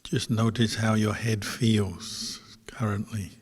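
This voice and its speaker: A man teaching as a Buddhist monk, guiding a meditation in measured short phrases with long pauses between them. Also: on the low side, level tone, husky. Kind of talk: guided meditation instruction. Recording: long hall reverb.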